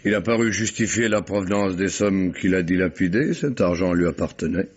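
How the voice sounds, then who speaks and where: An actor playing a shrewd, theatrical detective who poses as a provincial family friend in a vintage French radio drama. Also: male voice, low voice, brisk, slightly rough. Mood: earnest, measured. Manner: theatrical, effusive, exclamatory. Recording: dull old radio recording.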